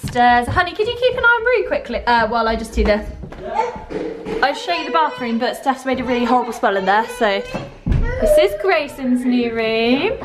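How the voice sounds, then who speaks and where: A woman's voice: fairly high pitch, normal speed, noisy background. Giggly young woman touring an empty house. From England. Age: young adult. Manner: giggly, excited, chatty. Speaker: vlogger and mother. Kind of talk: casual home tour vlog. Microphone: handheld camera at arm's length.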